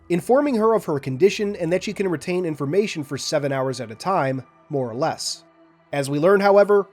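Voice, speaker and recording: A man's voice: fairly high pitch, darkish tone. A man hosting a lore analysis video, reading a scripted narration with enthusiasm and speaking directly to his viewers.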